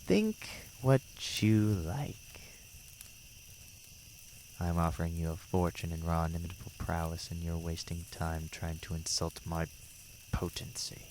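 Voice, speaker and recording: A man's voice: low voice, measured pace, darkish tone, a little hiss or hum. A man playing a devilish, mocking demon tempter in a fan audio drama, speaking with theatrical mock courtesy and ornate words. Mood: disdainful.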